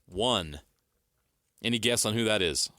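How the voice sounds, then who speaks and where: Man, sports radio host, low voice, smooth and clear, upbeat. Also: expressive, bright, forceful tone, no echo. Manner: brisk, upbeat, leads the segment.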